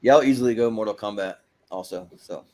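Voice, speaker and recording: A man's voice: on the low side, fast, a little mellow, a little hiss or hum. A man with an agreeable, easygoing manner hosting a casual panel chat. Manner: agreeable, easygoing, often chuckling. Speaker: host of a panel show.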